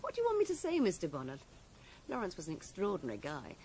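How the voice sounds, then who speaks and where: A woman's voice: on the low side, huge pitch swings, fast, dark tone, a little hiss or hum. An actress playing a cool, guarded woman in a radio drama, composed, formal and matter-of-fact. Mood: guarded, frank.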